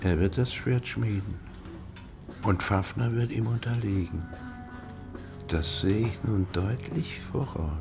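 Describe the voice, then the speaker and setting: A sly, murderous dwarf in a fantasy radio drama. He mutters conspiratorially, slowly and with a swooping, creaky delivery. Music plays clearly underneath. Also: on the low side, exaggerated voice. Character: sly, murderous male dwarf plotting for gold. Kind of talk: fantasy radio play acting.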